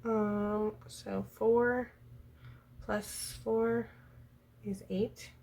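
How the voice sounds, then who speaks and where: A woman with a froggy, hoarse voice chatting casually as she decorates a planner, thinking aloud and counting under her breath. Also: mid-range voice, level tone, very slow, darkish tone. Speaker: planner vlogger. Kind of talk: casual talk while drawing a journal spread.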